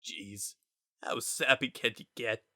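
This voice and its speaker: A man voicing a wry, laid-back skeleton who hides his grief behind casual, halting, self-deprecating talk in a story reading. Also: mid-range voice, steady tone, sharp tone, heavily acted. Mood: choked up. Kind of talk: dramatic fan-fiction reading.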